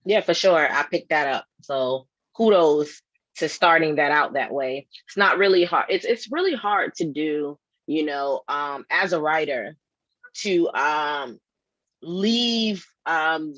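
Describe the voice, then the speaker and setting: A woman voice actor giving affirming feedback in a casual discussion, halting as she thinks aloud. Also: deep voice, expressive, a bit bright, no room sound, dull audio.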